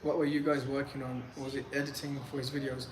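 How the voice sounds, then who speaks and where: A man's voice: mid-range voice, little pitch movement, quick pace. A young man whose first language is Pashto, hosting a casual live Q&A from his phone and answering chat questions in a loose, rambling way full of "like" and restarts. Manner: loose and chatty, lots of "like" and restarts. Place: in Medellín, Colombia. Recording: echoey room with clear background noise.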